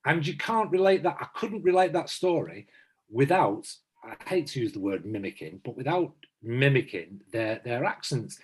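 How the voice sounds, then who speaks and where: A man's voice: mid-range voice, big pitch swings, moderate pace, breathy edge. A man in his fifties from south Manchester who talks emphatically as a podcast co-host, repeating himself to stress his points. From south Manchester, England. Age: 50s. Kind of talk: casual chat.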